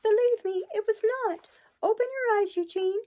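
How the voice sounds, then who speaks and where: A woman voicing a cheeky wooden puppet boy in a storybook reading, speaking in a high, piping voice. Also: moderate pace. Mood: tearful despair.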